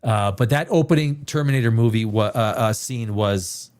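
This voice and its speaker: A man's voice: medium pitch, normal speed, darkish tone. A man, chatting casually as a livestream host in an opinionated, emphatic way, repeating phrases to drive points home. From Canada. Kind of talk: casual film chat, rambling commentary.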